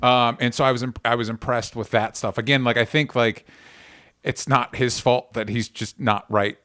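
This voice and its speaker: Halting male podcast host, casual and dry-miked. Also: mid-range voice, fairly even intonation, bright, forceful tone, dry recording. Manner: halting, self-correcting, freely swearing. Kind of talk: casual chat.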